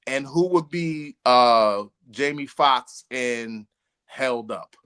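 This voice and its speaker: A man's voice: medium pitch, takes it slowly, pushed and bright, clean tone. An American man co-hosting a casual podcast among friends, speaking emphatically and often repeating himself for effect. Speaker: podcast co-host.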